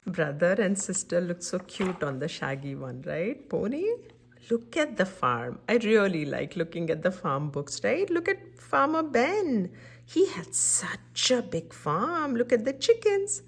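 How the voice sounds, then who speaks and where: A woman's voice: mid-range voice, big pitch swings, a little slow, vocal fry, slightly rough. A woman reading a picture book aloud to young children, adding simple chatty explanations and checking in with her listeners. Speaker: children's story-time reader and host.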